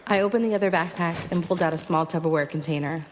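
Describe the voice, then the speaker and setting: A woman's voice: lowish voice, fast, noisy background, lightly acted. An actress playing a resourceful, stubborn woman survivor in an audio drama, narrating and speaking in a terse, matter-of-fact way. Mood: narrating, subdued. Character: resourceful, stubborn female survivor traveling west. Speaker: lead actor and first-person narrator. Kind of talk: audio drama acting with first-person narration.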